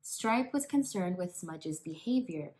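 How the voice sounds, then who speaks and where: Slow, expressive woman storyteller. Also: fairly low pitch. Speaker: teacher reading a story to children.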